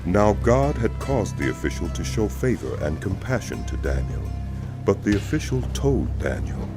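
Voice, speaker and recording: A man giving solemn, measured, scripted dramatic narration of scripture as a storyteller. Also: sits low, big pitch swings, over music.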